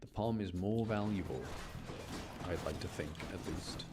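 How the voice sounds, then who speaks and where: A man's voice: low voice, measured pace. A man giving casual, chatty live commentary as a game streamer, often explaining things and interrupting himself. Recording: music behind the voice. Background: music.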